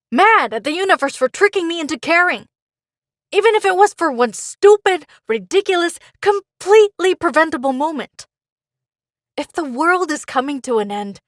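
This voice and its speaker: Woman acting bitter, high-voiced female caller. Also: no room sound, clean studio sound. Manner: sardonic, brooding. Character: bitter, annoyed female caller searching for meaning. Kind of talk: audio drama monologue.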